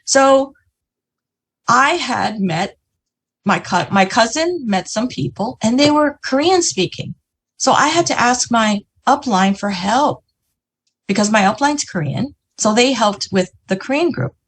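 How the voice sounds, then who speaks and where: Chatty woman with a husky voice. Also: on the high side, a little slow, poor audio. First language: English. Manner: lively, chatty, frequent "you know" and "right?". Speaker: business presenter. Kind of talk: motivational talk on a video meeting. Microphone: video call.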